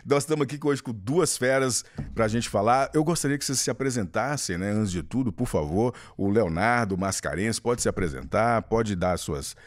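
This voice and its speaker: A Brazilian man hosting a podcast in a relaxed, talkative way. His voice sits fairly low. He jokes around and cuts in on his guests to ask questions. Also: normal speed, hardly any pauses. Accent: Brazilian Portuguese. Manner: chatty, jokey, often interrupts guests. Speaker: podcast host. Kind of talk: casual podcast chat.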